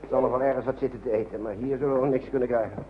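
A man's voice: medium pitch, fairly even intonation, very fast, natural voice. Man acting as patient kindly uncle, radio play, coaxing repetitive talk to children, dull sound, heavy background noise. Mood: gentle, practical. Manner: coaxing, repeating himself to small children. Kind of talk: naturalistic radio drama acting. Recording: dull old radio recording, loud noise.

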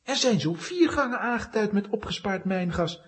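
A woman's voice: mid-range voice, lively intonation, normal speed, natural voice. An actress playing a sensible, alert young boy adventurer in a children's audio drama, earnest as he explains. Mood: urgent, exasperated.

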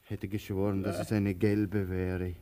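A man acting a patient, stubborn tramp in a radio play, speaking in a measured, dry way to his companion. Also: sits low, level tone, warm tone. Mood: coaxing, hopeful. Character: patient, stubborn tramp, protective companion, slow-witted but hopeful. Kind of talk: theatrical radio play dialogue.

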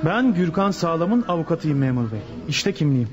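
An actor playing a firm, insistent gang lawyer in a radio drama, speaking articulately. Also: male voice, a little high, big pitch swings, brisk, over music. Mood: insistent, impatient. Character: assertive male lawyer working for a gang boss. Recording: dull lo-fi radio recording.

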